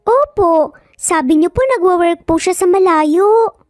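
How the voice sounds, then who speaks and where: Woman as a very high-voiced sweet little Filipino boy. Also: lively intonation, a little slow, darkish tone, no echo, clean studio sound, soft background music. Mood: timid, apologetic. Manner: pleading, respectful. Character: small sweet, polite, pleading young boy.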